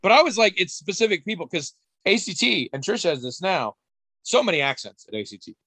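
A man's voice: sits high, lively intonation, fairly quick, bright, forceful tone, husky, no echo. A man co-hosting a podcast, chatting casually in a rambling, candid way and swearing now and then. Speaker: podcast co-host. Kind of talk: casual chat.

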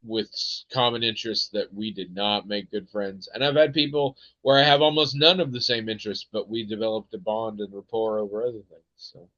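Casting note: American male podcast host, lowish voice, meandering and full of ums, dull dry lo-fi sound. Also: level tone, brisk, hardly any pauses, sharp tone, dry recording. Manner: rambling, hesitant, many ums and restarts. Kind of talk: casual chat.

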